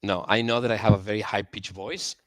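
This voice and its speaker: A man's voice: lowish voice, steady tone, a little fast, no echo. A man speaking as a podcast guest in casual chat, self-deprecating and laughing often.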